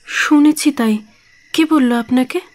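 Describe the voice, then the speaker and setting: High-voiced, reserved young woman. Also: takes it slowly. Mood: matter-of-fact, resigned. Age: young adult. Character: reserved, dutiful young woman with quiet pluck. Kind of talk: audio drama acting.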